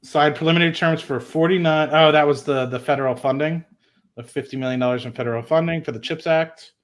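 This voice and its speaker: A man's voice: a little high, fast talker. A man hosting a livestream, talking informally and thinking aloud as he reads through documents and comments on them. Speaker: host of an investing livestream. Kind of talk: casual live commentary while reading documents.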